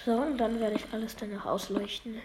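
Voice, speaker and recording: A woman feeling under the weather, talking through a game in a halting, apologetic way and often losing her words. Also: fairly high pitch, steady tone, background noise. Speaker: let's play gamer. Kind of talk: casual gameplay commentary.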